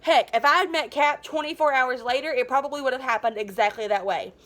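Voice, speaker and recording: A woman reading a children's novel aloud as a teacher, in an animated, dramatic and informal way. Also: sits high, brisk. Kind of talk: expressive children's book read-aloud.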